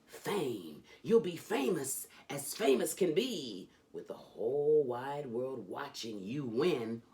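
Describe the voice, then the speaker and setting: A woman reading a children's storybook aloud as host, with theatrical, emphatic delivery and drawn-out phrases. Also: extremely low pitch, big pitch swings, mellow.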